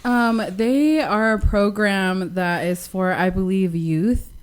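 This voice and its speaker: A young woman from Western Samoa, a musician guest on a radio interview, talking casually and softly with slang and frequent laughs. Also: mid-range voice, slow pace. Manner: soft-spoken, laughs often, slangy.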